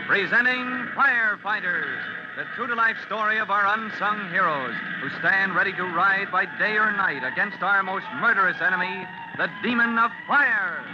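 A man's voice: high voice, normal speed, loud music behind the voice. A man narrating a vintage radio drama as announcer, in a dramatic, declamatory style. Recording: muffled, noisy old radio recording.

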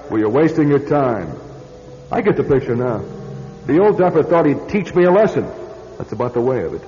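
An actor playing an irritable, cynical, self-pitying salesman in a radio drama, gruff, sarcastic and always complaining. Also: medium pitch, big pitch swings, fairly quick, warm tone, close to an everyday voice. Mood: bitter, annoyed. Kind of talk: classic radio drama acting. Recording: dull radio sound, long reverb.

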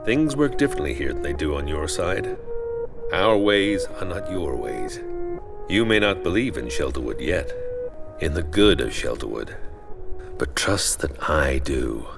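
An actor playing an ominous, devout spokesman of an uncanny suburb, slow and solemn in his menace, in an audio drama. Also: extremely low pitch, big pitch swings, gravelly, over loud music, exaggerated voice.

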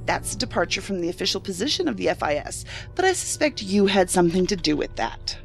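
An actress playing a skeptical, sharp-tongued federal agent in a science fiction audio drama, blunt and challenging with a sardonic edge. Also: female voice, lowish voice, big pitch swings, fast, gravelly. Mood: challenging, impatient. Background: music under the voice.

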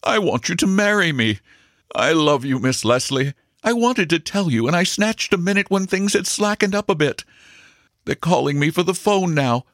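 A man voicing a frantic, busy young male stockbroker who proposes in a hurried rush. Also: on the low side, big pitch swings, fairly bright tone, husky, dry recording, clean studio sound, heavily acted. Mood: hurried eager.

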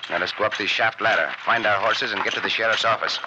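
A man's voice: on the low side, fast, close to an everyday voice. A man playing a confident, good-humored Mexican cowboy adventurer in an old radio western, giving orders in formal phrasing. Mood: assured. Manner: formal phrasing without contractions, commanding. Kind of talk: 1940s-50s radio western acting. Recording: very noisy, muffled old radio recording.

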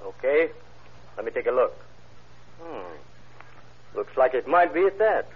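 A man's voice: mid-range voice, sing-song, a little slow, husky. An actor playing a cautious, exacting police ballistics man who speaks carefully and commits to nothing, in old radio drama style. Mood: absorbed. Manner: careful, noncommittal. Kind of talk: 1940s-50s radio crime drama acting. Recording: dull vintage radio recording.

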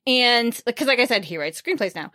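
Bubbly chatty woman, bright and upbeat. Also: fairly high pitch, lively intonation, brisk, dry recording, studio quality. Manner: bubbly, talkative, laughs often. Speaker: podcast host. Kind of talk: casual book chat.

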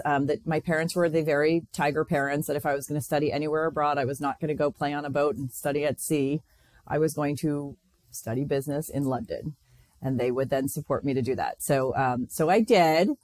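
A woman's voice: deep voice, darkish tone, no room sound. A middle-aged woman from Connecticut, a company president, speaking as a podcast guest and telling her story in a friendly, earnest way with frequent ums and restarts. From Connecticut, USA. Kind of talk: casual interview storytelling.

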